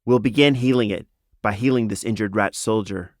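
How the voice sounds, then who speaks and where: A man's voice: on the low side, brisk, dry recording, close to an everyday voice. A commanding, compassionate animal healer lady, read by a man. The voice is firm and only slightly raised, close and dry, in storybook style. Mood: firm, grave.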